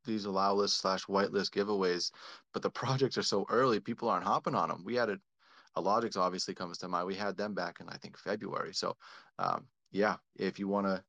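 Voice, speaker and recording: A man's voice: deep voice, vocal fry, a bit bright. A man hosting a casual online audio chat, upbeat and chatty, with plenty of fillers and restarts. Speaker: host.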